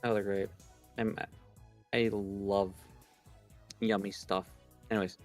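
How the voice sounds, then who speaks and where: Guy on a game stream just chatting, kinda low voice, faint music. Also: level tone, slow. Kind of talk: casual banter on a playthrough stream.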